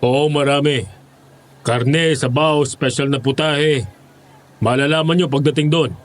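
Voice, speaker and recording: A man's voice: fairly high pitch, steady tone, measured pace, close to an everyday voice. Man acting a curt, bossy Filipino boss. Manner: curt, commanding. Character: gruff, evasive, bossy man herding hired cooks.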